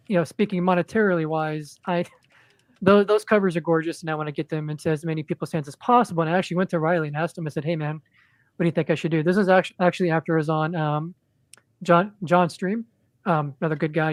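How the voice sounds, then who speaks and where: A man chatting as a guest on a podcast, in a monotone, rambling way with lots of ums and restarts. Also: on the high side, no room sound. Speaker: podcast guest, indie comic creator. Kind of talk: casual podcast chat.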